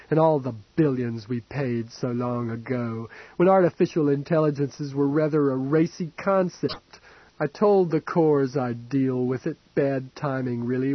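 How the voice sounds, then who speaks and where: A man's voice: fairly high pitch, no room sound, muffled recording, close to an everyday voice. A man reading an audiobook, voicing an ancient, dying, decadent rich man who rambles and mocks in a languid drawl. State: drunk and fading. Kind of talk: audiobook character voice.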